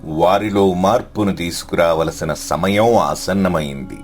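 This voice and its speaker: A man voicing a solemn, compassionate god in a devotional story, speaking in a measured, formal way. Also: low voice, soft background music. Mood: solemn, resolved.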